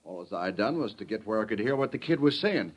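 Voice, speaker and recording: An actor in a 1930s radio western playing a nervous, meek townsman with a husky voice, an American Western accent and apologetic, rustic talk. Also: fairly low pitch, very animated, fast. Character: nervous, cringing frontier eavesdropper, meek townsman. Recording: old 1930s radio recording.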